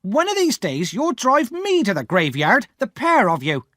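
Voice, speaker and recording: A man's voice: high-pitched, huge pitch swings, normal speed, pushed and bright, no echo, studio quality, voice pushed up, exaggerated voice. A man voicing a bad-tempered older woman who scolds sharply and impatiently. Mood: scolding.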